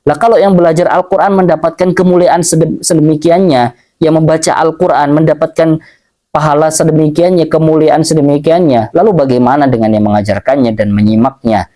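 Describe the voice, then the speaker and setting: A male Islamic teacher giving a religious study lecture, explaining slowly, repeating phrases and reading Arabic passages aloud. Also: on the high side, steady tone, warm tone.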